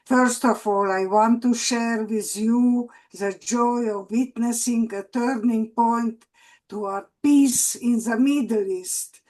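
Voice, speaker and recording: Older hungarian-accented lady on a video call, slow, halting, fairly high voice. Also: fairly even intonation, a little mellow. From Romania. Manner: halting, hesitant, with restarts. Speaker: workshop participant. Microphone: online video call.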